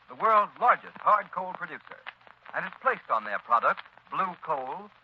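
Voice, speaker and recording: An American man announcing in 1930s radio style, reading a script with formal, emphatic, polished delivery. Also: on the high side. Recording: muffled old radio broadcast, light hiss.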